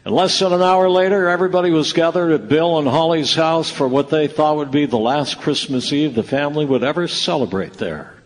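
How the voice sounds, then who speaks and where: An actor playing a reflective storyteller, narrating a radio play. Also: male voice, fairly high pitch, a little fast, few pauses, slight background noise, lightly acted. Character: male storyteller looking back on a Christmas tale. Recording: reverberant hall with music behind.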